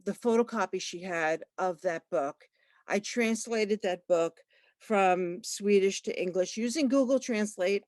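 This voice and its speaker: A woman librarian giving a talk at an online book launch, halting, with many fillers and restarts. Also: mid-range voice, dry recording, studio quality. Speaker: librarian and researcher. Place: online video call.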